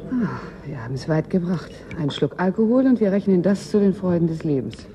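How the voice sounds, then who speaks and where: An actress playing a self-assured, dryly ironic newcomer in a 1980s German radio drama, teasing and witty. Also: female voice, lowish voice, expressive, fairly quick, warm tone, close to an everyday voice. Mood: wry amusement.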